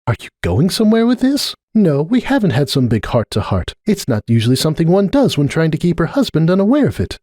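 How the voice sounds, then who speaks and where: A defensive, indignant mother pony from a cartoon fan story, performed by a man. Her voice is lifted far above normal male pitch and moves animatedly, with a curt, stubborn, dismissive manner. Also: wildly swooping pitch, fast talker, few pauses, dark tone, dry recording, clean studio sound. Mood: sarcastic, irritated.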